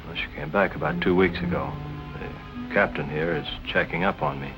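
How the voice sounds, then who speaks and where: An anxious, insistent husband under suspicion in a forties noir drama. His voice is on the low side, over music from an old film soundtrack. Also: sing-song, fairly quick, creaky, heavily acted, poor audio. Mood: grave. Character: anxious husband suspected of his wife's murder. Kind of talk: 1940s film noir acting.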